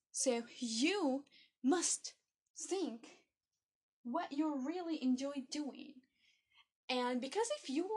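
A high-pitched young woman whose first language is Arabic talks slowly and earnestly, urging listeners to find what they enjoy. She halts often, repeating words and restarting her phrases as she searches for them. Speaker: motivational speaker. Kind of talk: motivational monologue to camera.

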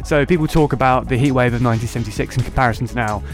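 A man's voice: mid-range voice, rapid-fire, faint music behind. A man hosting a casual political podcast, sarcastic and mocking. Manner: sarcastic, mocking voices of others. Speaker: podcast host.

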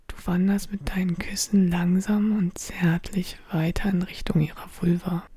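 A woman in an audio drama whispering slow, intimate second-person narration in a breathy voice. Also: deep voice, mellow. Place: studio.

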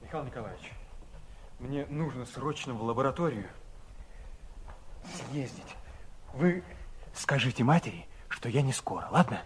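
An actor playing an impulsive, playful young son, affectionate and pleading, in a 1960s radio drama. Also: male voice, mid-range voice, animated, a little slow, pauses often, close to an everyday voice. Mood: hurried, confiding. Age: young adult. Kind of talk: 1960s Soviet radio play acting. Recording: noisy vintage radio recording.

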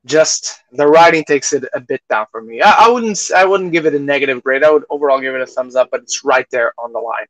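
A man hosting a podcast, chatting casually through film reviews, haltingly repeating phrases and acting out imagined reactions. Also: medium pitch, no echo, low-quality recording. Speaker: podcast host reviewing films.

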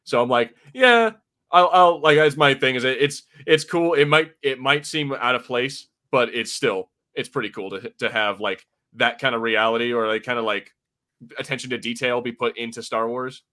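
A man's voice: medium pitch, normal speed, sharp tone. An American man co-hosting a podcast, chatting casually in a rambling, informal way about something he follows as a fan. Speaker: podcast co-host reviewing a TV episode. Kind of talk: casual chat, fan commentary.

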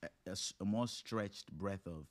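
A man in his thirties with a low voice talks as a guest in a casual interview. He is earnest and motivational, building each point in short phrases. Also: moderate pace. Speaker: podcast guest.

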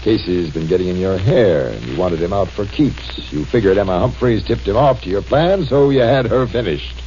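Man doing a gruff police captain, low voice, barks orders, muffled old radio w/ hiss. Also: animated, moderate pace, dark tone, close to an everyday voice. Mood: stern. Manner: blunt, commanding. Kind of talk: 1940s radio acting. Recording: dull old radio broadcast with faint hiss.

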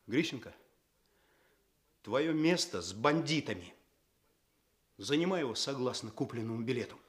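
An actor playing an earnest, stern young police officer in a radio play, speaking in an official, clipped manner. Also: male voice, mid-range voice, lively intonation, normal speed, lightly acted. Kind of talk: Soviet radio play acting.

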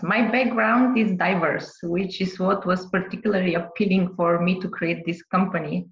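A woman's voice: mid-range voice, echoey room, highs cut off. A woman from Bulgaria, a business owner, answering podcast interview questions carefully, with light laughs.

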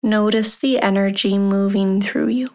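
Slow, even-toned woman guiding meditation. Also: mid-range voice. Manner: calm, unhurried, measured instructions with long pauses. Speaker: meditation guide, podcast host. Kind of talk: guided meditation, body scan.

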